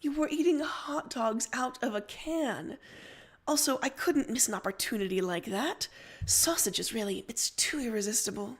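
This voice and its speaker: A woman's voice: a little high, normal speed, breathy edge. A woman voicing a prim, probing teenage girl with a formal, insistent way of talking, in a story reading. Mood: teasing, insistent.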